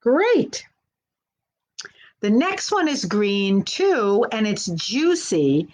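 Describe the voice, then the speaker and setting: A woman's voice: medium pitch, very animated, drawn-out words, darkish tone. A woman working as a children's librarian, hosting a live storytime in a simple, encouraging way and speaking directly to young children.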